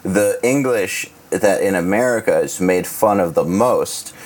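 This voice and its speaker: A man's voice: low voice, measured pace. A man hosting a casual podcast chat, blunt and teasing, swearing freely. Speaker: podcast host.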